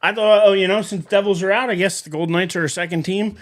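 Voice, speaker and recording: A man's voice: high voice, fairly even intonation, fast. A man co-hosting a sports podcast, giving emphatic, opinionated takes in casual talk. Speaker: podcast co-host.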